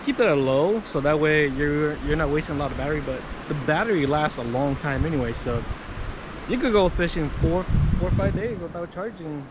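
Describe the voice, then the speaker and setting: A man giving an informal, unscripted how-to in a halting, casual way, often repeating himself. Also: on the high side. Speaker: vlogger demonstrating handheld radios. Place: outdoors near water. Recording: noisy outdoor wind and water ambience.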